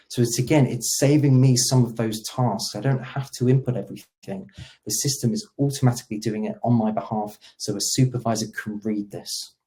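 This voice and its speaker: A man giving an explanatory software demo as a presenter, walking through it step by step. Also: mid-range voice.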